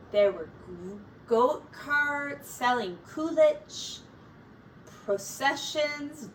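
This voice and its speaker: A woman teacher reading a picture book aloud to young children in an expressive, deliberate storytelling manner. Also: high-pitched. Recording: distant mic, faint background noise.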